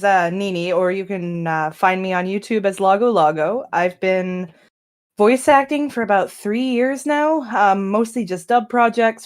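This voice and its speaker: Girl doing a casual intro, amateur voice actor, normal pitch.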